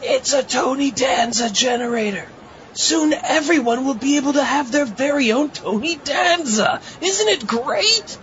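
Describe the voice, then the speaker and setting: A cheerfully dim mad scientist for a comedy audio drama. The voice is high and swooping, chirpy and pestering. The recording sounds slightly muffled. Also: animated, a little slow, fairly bright tone. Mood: proud, eager. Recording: muffled audio with faint background noise.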